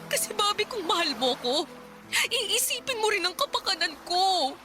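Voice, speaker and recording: A woman's voice: extremely high pitch, big pitch swings, moderate pace. A Filipino actress playing an exhausted, bitter common-law wife and mother in a radio drama, reproachful, sarcastic and emotional. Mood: hurt, accusing. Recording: music bed under the dialogue.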